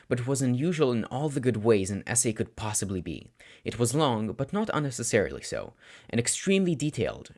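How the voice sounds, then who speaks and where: A man reading scripted story narration aloud, audiobook style, with expressive, dramatic phrasing. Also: mid-range voice. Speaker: audiobook narrator of fan fiction.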